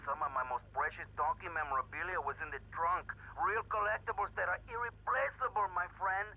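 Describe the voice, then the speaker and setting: Bombastic gangster on a tinny phone. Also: male voice, sits high, brisk, vocal fry. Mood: indignant, theatrical. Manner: pompous, theatrical, florid. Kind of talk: video game phone-call monologue. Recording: tinny, noisy phone line.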